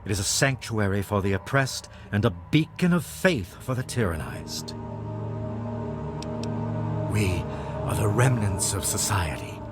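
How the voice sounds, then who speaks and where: Grandiose, solemn cult leader with music behind. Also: male voice, on the low side, lively intonation, unhurried, long pauses. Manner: grandiose, formal, preacher-like oratory. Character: solemn male leader of an underground outcast flock of blood drinkers. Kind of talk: video game dialogue.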